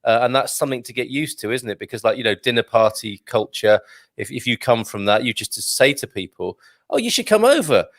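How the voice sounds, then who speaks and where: A man's voice: medium pitch, very animated, fairly quick, fairly bright tone, dry recording. Casting note: easygoing male host, mid voice, dry close mic. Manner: friendly, reads out viewer comments, laughs easily. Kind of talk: casual interview chat.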